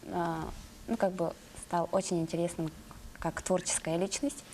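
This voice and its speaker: Yakut-accented actress, hesitant interviewee. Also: female voice, fairly low pitch, flat delivery, normal speed, a little mellow, a little hiss or hum. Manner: hesitant, many fillers.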